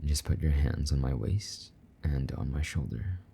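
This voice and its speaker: Very deep, dark, husky demon lover played by a man. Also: expressive, slight background noise, heavily acted. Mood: tender, coaxing. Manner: soft, slow-burning, gently coaxing endearments. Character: possessive, tender, lonely male demon lover. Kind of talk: intimate romantic audio roleplay to listener.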